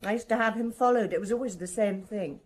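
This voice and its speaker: A middle-aged society wife, acted by a woman in a radio play, in a medium-pitched voice. She is terse and deliberate, nervous beneath a brittle, haughty and bitter surface. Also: lightly acted. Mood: bitter, anxious. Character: elegant, nervous, bitter wife of a missing solicitor. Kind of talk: radio drama acting.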